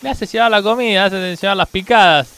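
A man's voice: high voice, a little fast, fairly bright tone. A man with an Argentine accent playing an exasperated car owner, scolding and insistent, in a radio comedy sketch. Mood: indignant. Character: exasperated, indignant male car owner scolding his mechanic.

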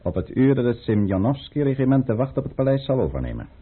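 Radio play count: authoritative schemer, rough husky tone, muffled vintage recording. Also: male voice, medium pitch, very animated. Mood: cool, firm. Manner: formal, deliberate, authoritative. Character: commanding, coolly calculating count, a conspirator against the tsar. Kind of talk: radio drama acting.

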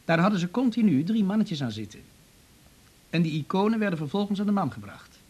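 An actor playing a matter-of-fact police detective who explains things methodically in a radio drama. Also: male voice, high-pitched, big pitch swings, brisk, a little mellow, slightly rough, full character voice. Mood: official, explanatory. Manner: methodical, official. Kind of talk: Dutch radio drama acting.

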